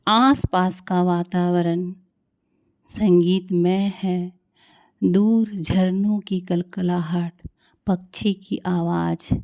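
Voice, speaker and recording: A woman leading a guided meditation in a calm, soothing, unhurried way with long pauses. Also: fairly low pitch, no echo.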